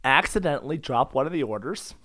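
A man co-hosting a comedy podcast, casually telling stories in a giggly, excitable, protesting manner. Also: medium pitch, a little fast. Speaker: podcast co-host.

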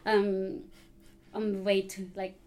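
A woman's voice: medium pitch, completely flat delivery, slow pace, a little mellow. A young woman from Uganda, chatting casually with many fillers. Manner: chatty, lots of likes and ums. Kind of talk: casual chat.

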